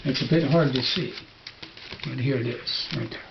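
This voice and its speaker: A man reading a storybook dramatically, in a halting, musing, stammering manner. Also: mid-range voice, vocal fry. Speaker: storybook reader. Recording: dull home recording with faint hiss.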